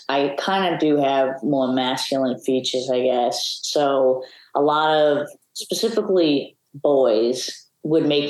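A woman's voice: rumbling low, a little mellow, roomy sound, rough recording. A young woman, a guest in an interview, telling her story in an open, reflective way. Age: young adult. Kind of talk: casual interview chat.

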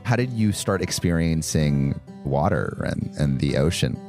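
Low-voiced male podcast host. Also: expressive, unhurried, a little mellow, faint music behind. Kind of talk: scripted narration and interview. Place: on a boat in a bay.

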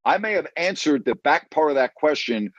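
A man's voice: a little high, lively intonation, normal speed, no room sound, lo-fi sound. A man chatting casually as a guest, emphatic and repeating himself for stress. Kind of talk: casual chat.

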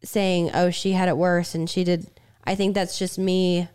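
A woman co-hosts a candid podcast conversation, thinking aloud and restarting her sentences as she goes. Her voice sits a little low and comes through smooth, with a slight rasp. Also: flat delivery, darkish tone. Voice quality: slightly raspy. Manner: reflective, speaks in halting restarts. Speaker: podcast co-host.

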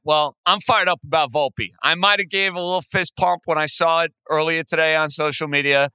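High-pitched, very bright, booming male sports host. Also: clear, resonant tone, no room sound, low-quality recording. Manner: excitable, emphatic, punchy phrasing. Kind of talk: energetic sports commentary and interview.

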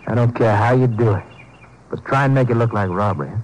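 An actor playing a slick, pushy criminal with a businesslike, wheedling manner in a 1950s radio drama. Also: male voice, medium pitch, fast, natural voice. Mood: satisfied, businesslike. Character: slick, pushy criminal schemer hiring a killer. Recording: noisy old 1950s radio recording.

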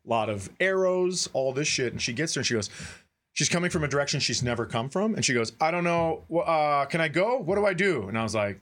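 A man's voice: fairly high pitch, lively intonation, fast, a bit bright. American man telling stories casually. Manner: profane, wry, acts out dialogue. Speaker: podcast co-host. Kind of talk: casual comedic storytelling.

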